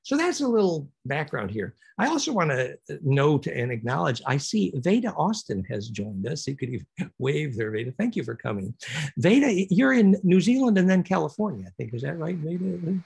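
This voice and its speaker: A man giving an online lecture on a video call, explaining at length with lots of fillers in a raspy voice. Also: on the high side, normal speed. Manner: animated, rambling explanation with many fillers.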